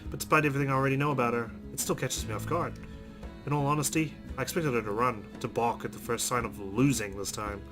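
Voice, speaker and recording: Male let's player, medium pitch, dramatic story read-aloud with short asides, clear musical backing. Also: fairly even intonation, fast, over music. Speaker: let's player reading a visual novel aloud.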